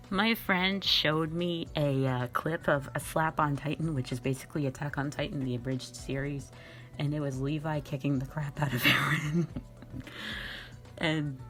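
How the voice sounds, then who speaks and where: A young woman hosting a casual question-and-answer chat, giggly, rambling and playfully self-mocking. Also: a bass voice. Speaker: online channel host and amateur voice actor.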